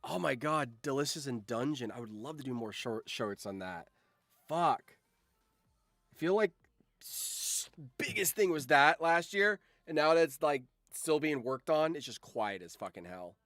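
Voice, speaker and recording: A man hosts a casual livestream in a mid-pitched voice. He rambles through his thoughts and swears freely. The sound is dry, with hardly any room in it. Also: animated, measured pace, a bit bright, dry recording. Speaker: livestream host.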